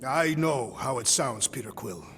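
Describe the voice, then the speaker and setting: An actor playing a solemn, proud alien warrior in a video game cutscene, speaking formally and gravely. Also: male voice, mid-range voice, expressive, measured pace, a bit bright, long reverb tail, a little hiss or hum. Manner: formal, grave, literal-minded diction.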